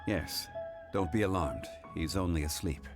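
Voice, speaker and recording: An actor playing a composed, ageless god in human form, speaking calmly and with dignity. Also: male voice, deep voice. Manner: calm, measured, dignified. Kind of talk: game voice acting. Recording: game audio with faint music.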